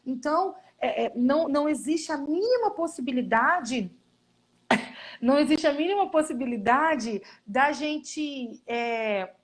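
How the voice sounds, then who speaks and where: A Brazilian woman with a fairly high voice speaks earnestly about her missionary work. She tells her stories with animation and slips into quoted voices as she goes. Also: lively intonation, unhurried. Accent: Brazilian Portuguese. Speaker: missionary guest. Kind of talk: casual testimony in a live stream.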